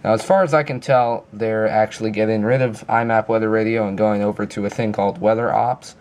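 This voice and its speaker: A man's voice: fairly low pitch, steady tone, a little fast, darkish tone. A man giving a casual, informal review walkthrough in a plain-spoken explaining manner. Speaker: app reviewer.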